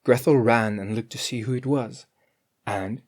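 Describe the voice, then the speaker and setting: A storyteller reading a scripted fairy tale in an expressive, measured way. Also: medium pitch.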